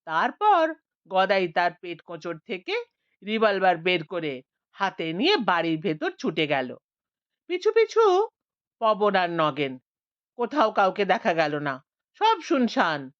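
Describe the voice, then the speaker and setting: A woman narrating an audio story with an expressive, dramatic reading. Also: mid-range voice, slow, pauses often, no echo. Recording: dry, slightly muffled lo-fi.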